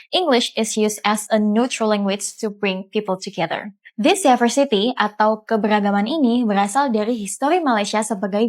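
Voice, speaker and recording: A woman with an Indonesian accent presenting a scripted promo, lively and switching into English. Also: medium pitch.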